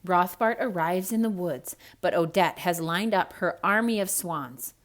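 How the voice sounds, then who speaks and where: A woman reading a storybook aloud to children in a theatrical, expressive way, with hushed asides to young listeners. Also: lowish voice, a little slow.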